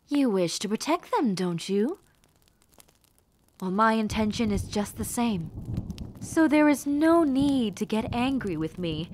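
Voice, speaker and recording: A woman playing a haughty, menacing rebel mistress in a fantasy audio roleplay, speaking formally and condescendingly to the listener with theatrical pauses. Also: a little high, a little hiss or hum. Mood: stern warning. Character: haughty, menacing villainess, rebel mistress with sweet cruel poise.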